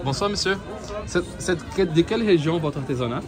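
Chatty Brazilian vlogger at a busy market. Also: male voice, a little high, expressive, brisk. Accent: Brazilian Portuguese. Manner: chatty, addresses viewers often, switches to careful French with vendors. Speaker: travel vlogger. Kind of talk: casual walking vlog, chatting with vendors. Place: outdoor Christmas market. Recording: busy crowd noise outdoors. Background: market crowd.

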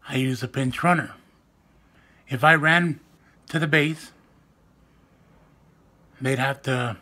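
A young man vlogging casually to the camera at home, with a soft, weak, raspy voice and a slow, self-mocking, joking manner, recorded on a phone or webcam close to his face. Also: on the high side. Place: indoors at home, small room.

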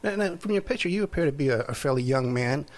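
A man hosting an interview podcast, asking questions in a relaxed way with frequent pauses and fillers. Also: a little high. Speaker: podcast host, private investigator. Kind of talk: casual interview.

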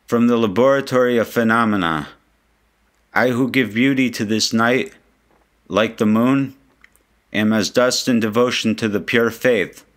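A man reading translated poetry aloud like an audiobook, in a solemn, measured manner. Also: medium pitch, a bit bright. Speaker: reader.

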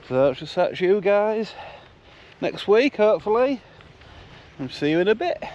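A man's voice: high-pitched, a little mellow, a little hiss or hum. A man with a Yorkshire accent chatting casually and jokily to his viewers as a van-life vlogger, in muffled on-location audio. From Yorkshire, England. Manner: chatty, informal, jokey. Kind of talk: casual vlog commentary to viewers.

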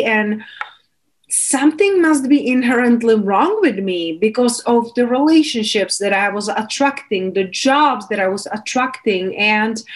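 A woman in her thirties with a Czech accent, a coach talking openly as a guest on a video-call interview. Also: on the high side. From Czech Republic. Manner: earnest, open, gestures with hands. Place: small home room indoors. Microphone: studio mic on video call.

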